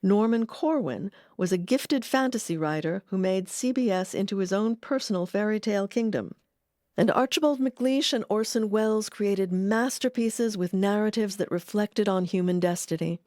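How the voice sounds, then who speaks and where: A woman giving measured, articulate scripted narration as a documentary narrator. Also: medium pitch, darkish tone, dry recording, studio quality.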